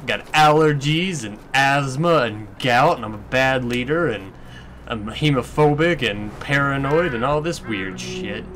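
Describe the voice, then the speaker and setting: A man's voice: mid-range voice, unhurried, a bit bright, slight background noise. A man giving casual, crude, sweary gameplay commentary, musing aloud as he goes. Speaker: let's play commentator.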